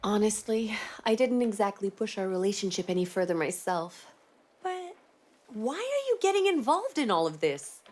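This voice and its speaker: A woman's voice: medium pitch, big pitch swings, moderate pace, darkish tone. A woman voicing a conflicted, emotionally wounded young woman in a video game. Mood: withdrawn, guarded. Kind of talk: video game dialogue acting.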